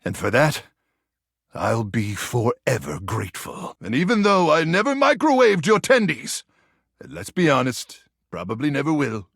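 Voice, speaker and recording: A man voicing a macho, melodramatic microwave who acts like a gung-ho action hero in a video game, with a gravelly, husky voice and bombastic, theatrical delivery. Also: medium pitch, sing-song, normal speed, dry recording, clean studio sound, heavily acted. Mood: solemn gratitude. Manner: bombastic, theatrical swings from brooding to shouting. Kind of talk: video game character acting.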